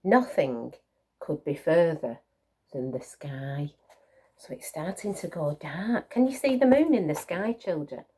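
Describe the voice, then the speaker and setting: A woman telling a picture-book story to small children. Her pitch swings in a lively way and she takes her time. She often turns to the listeners with encouraging little questions and asides. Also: measured pace, slightly rough.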